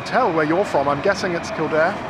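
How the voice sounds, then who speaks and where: A man's voice: on the high side, brisk, very noisy. A man hosting, putting short probing questions to people. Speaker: host and street interviewer. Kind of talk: radio interviewing.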